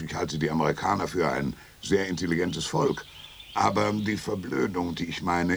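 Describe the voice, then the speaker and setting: An actor playing a grumpy, sardonic retired Finnish statesman of sixty-five in a radio play, gravelly and gruff. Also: low voice, full character voice. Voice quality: creaky, gravelly. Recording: faint outdoor background noise.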